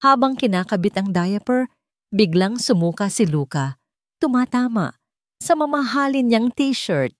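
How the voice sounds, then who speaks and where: A woman's voice: fairly high pitch, animated, a little slow, no room sound. A woman with a Filipino accent reading a dramatic scripted story as its narrator.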